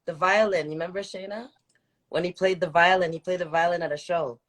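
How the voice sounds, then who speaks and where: A woman's voice: on the low side, fairly even intonation, brisk. A woman hosting a casual live music interview, chatting informally and repeating phrases for emphasis. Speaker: radio show host interviewing a musician.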